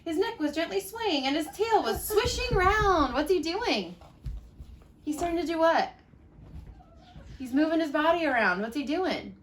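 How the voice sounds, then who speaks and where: A woman reading a storybook aloud to young children in an expressive, singsong way, pausing for little asides. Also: very high-pitched, a little hiss or hum.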